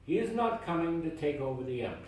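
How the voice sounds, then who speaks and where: A man reading a sermon aloud in a church, with a measured, deliberate delivery and emphatic phrasing. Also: a little high, sing-song, a little mellow, slight background noise. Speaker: lay reader delivering a sermon. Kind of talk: read sermon. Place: church sanctuary. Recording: very echoey church from far off.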